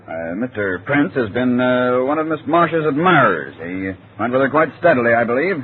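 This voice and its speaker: Guy as a polite careful theater manager in an old radio play, mid pitch, phone-like noisy audio. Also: big pitch swings, close to an everyday voice. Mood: polite, measured. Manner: polite, measured, slightly hesitant. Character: composed, deferential male theater manager. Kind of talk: old-time radio drama acting.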